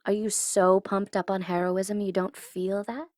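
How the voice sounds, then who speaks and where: A woman's voice: mid-range voice, flat delivery, measured pace, a little mellow, dry recording, studio quality, natural voice. A woman playing a smug tsundere supervillain in an ASMR audio roleplay, taunting and teasing theatrically, at times in a whisper. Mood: mocking, smug. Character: smug tsundere female supervillain gloating over her captive hero.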